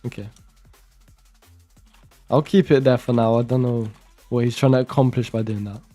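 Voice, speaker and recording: A young man from Romania talks his way through a coding session, reading lines of code under his breath and chatting between them. His voice is mellow and relaxed, at a middle pitch for a man. Faint music plays behind him. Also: moderate pace. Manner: muttering code aloud while typing, chatty asides. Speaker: programming streamer. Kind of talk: casual live-coding commentary.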